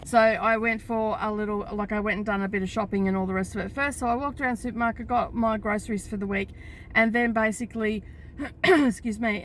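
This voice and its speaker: A middle-aged Australian woman vlogging into her phone camera, chatting in a rambling way with a croaky morning voice. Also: on the high side, slight background noise. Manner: rambling, chatty, lots of fillers and asides. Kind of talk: casual chat to camera.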